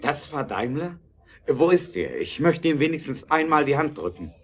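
An actor playing a principled, earnest German motorcar inventor in an audio drama, speaking in a measured way. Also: male voice, fairly high pitch, sing-song. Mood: regretful.

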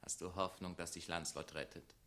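A cool young private secretary in a 1960s radio drama. His voice sits fairly low. He speaks in formal, clipped phrases with an impassive, dutiful manner. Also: fairly even intonation, brisk, bright, forceful tone. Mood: cold, dutiful. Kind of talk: 1960s German radio play acting.

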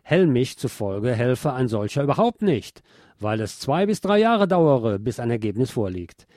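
A man's voice: mid-range voice, sing-song, unhurried, a little mellow, no room sound, clean studio sound. A man hosting a news show, reading press articles aloud and adding sardonic, emphatic commentary. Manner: sardonic asides, emphatic repetition.

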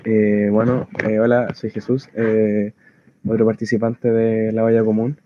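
Flat-toned man on phone-like audio. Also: fairly low pitch, unhurried. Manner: hesitant, self-correcting. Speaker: community soup kitchen participant. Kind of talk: informal spoken testimony. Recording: dry, narrow phone-like audio.

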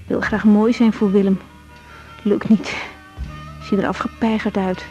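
A woman's voice: mid-range voice, level tone, unhurried, muffled recording, music in the background, close to an everyday voice. An actress playing a tired, caring young woman doctor in a naturalistic radio drama, plain and matter-of-fact. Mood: reflective, weary. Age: young adult.

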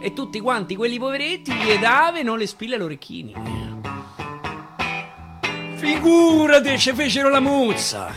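A man with a Roman accent reciting dialect verse theatrically, swinging from loud declaiming to a hushed whisper. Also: extremely high pitch, very animated, moderate pace. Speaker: actor reciting dialect poetry. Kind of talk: theatrical poetry recitation for radio. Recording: live guitar accompaniment.

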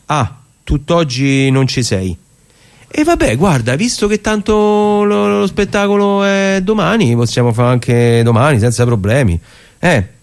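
A man's voice: on the high side, expressive, smooth. A man acting a stage performer, in a theatrical monologue that moves between casual talk and literary reading. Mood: flustered, casual. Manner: halting and repetitive on the phone, measured when reading. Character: chatty stage actor on a phone, rehearsing alone.

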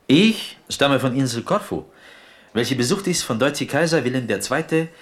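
An actor playing an eccentric, bookish Greek prisoner in a radio play, with a Greek accent, broken grammar and quaintly formal recitation. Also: male voice, mid-range voice, expressive, normal speed, lightly acted. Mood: earnest, proud. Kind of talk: German radio play acting.

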